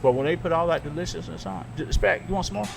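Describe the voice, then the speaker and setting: A man's voice: on the high side, fast, creaky, a little hiss or hum. A man hosting a casual podcast chat, telling stories candidly and emphatically, with plenty of restarts. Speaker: podcast host and radio broadcaster. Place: radio studio.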